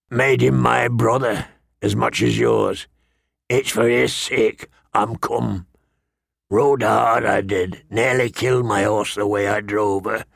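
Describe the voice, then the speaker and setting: A gruff old man of a northern watch for an audiobook. His voice is deeply creaky and husky, and he speaks slowly, with blunt, rustic deference. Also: dry recording, clean studio sound, exaggerated voice. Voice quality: gravelly, husky. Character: gruff stooped old man of the Night's Watch, rough but respectful. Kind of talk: audiobook character dialogue.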